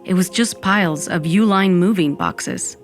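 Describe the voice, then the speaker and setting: A woman's voice: on the low side, unhurried, natural voice. A woman playing a sardonic undead woman, narrating an audio fiction in the first person. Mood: wry, reflective. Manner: wry, dramatic, peppered with Spanish exclamations. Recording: music bed under the voice.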